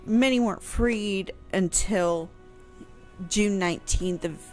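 A woman's voice: mid-range voice, slow, a little mellow, soft background music. A middle-aged American woman hosting a casual radio show, hesitant and dryly sarcastic, close on a studio microphone. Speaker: radio show host explaining history. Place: home room, indoors. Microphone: close boom studio microphone with headphones.

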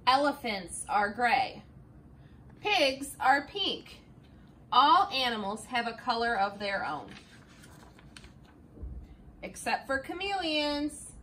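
Middle-aged teacher reading a picture book, high bright voice, slow and expressive, classroom. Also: female voice, slight background noise. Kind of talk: picture book read-aloud. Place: classroom, indoors. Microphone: camera a few feet away.

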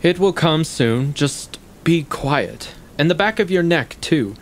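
An actor playing a shrewd, needling intellectual in a stage play, speaking deliberately in formal, articulate, condescending sentences. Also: male voice, a little high, animated, slight background noise. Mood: calm, needling. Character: shrewd, probing male intellectual, coolly needling his guest. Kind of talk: stage play acting, long monologues.

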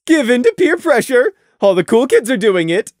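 A woman voicing a bubbly, cheerful female pony officer who talks loudly and pushily. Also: fairly high pitch, sing-song, moderate pace, no room sound, studio quality, voice pushed up. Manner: chirpy, pushy, loud.

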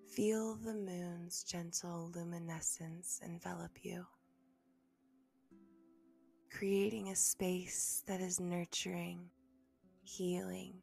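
A woman with a soft, breathy, slightly low voice guides a meditation, often slipping close to a whisper. She speaks very slowly and soothingly, leaving long pauses, with a creaky edge to her words. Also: flat delivery, soft background music. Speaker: meditation guide and podcast host.

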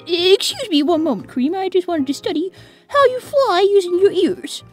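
Woman voicing a high-pitched, bashful young fox boy. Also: expressive, moderate pace. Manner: boyish, earnest, hesitant. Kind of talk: cartoon comic dub.

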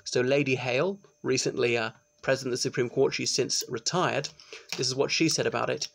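A middle-aged man, giving an informal law lecture to his webcam in a small room and often pausing with ums. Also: medium pitch, steady tone, vocal fry, a bit bright, a little hiss or hum. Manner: chatty, explanatory, with frequent ums and restarts. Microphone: laptop webcam, close framing.

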